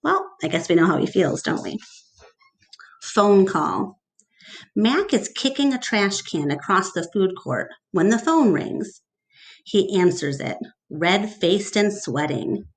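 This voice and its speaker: Slow, very expressive woman reading aloud. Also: mid-range voice, poor audio. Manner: deliberate, expressive. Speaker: teacher reading aloud to class. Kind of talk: storybook read-aloud narration with questions to children.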